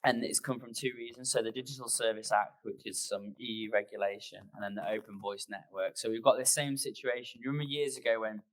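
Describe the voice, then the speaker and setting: A man's voice: medium pitch, flat delivery, fast, few pauses, low-quality recording. A man speaking as a conference presenter in an informal, conversational way, explaining things loosely and taking audience questions.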